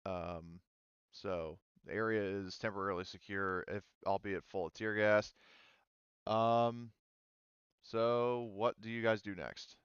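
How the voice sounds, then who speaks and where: Low, smooth-voiced man running a game. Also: steady tone, slow pace, no room sound, muffled recording. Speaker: game master. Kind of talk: casual tabletop game narration.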